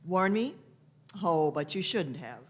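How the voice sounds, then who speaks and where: A woman's voice: lowish voice, sing-song. Woman acting a wry, knowing time traveler. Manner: deliberate, knowing, punctuated with hmms. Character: wry, shrewd, teasing time-traveling Doctor. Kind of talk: live radio-play acting.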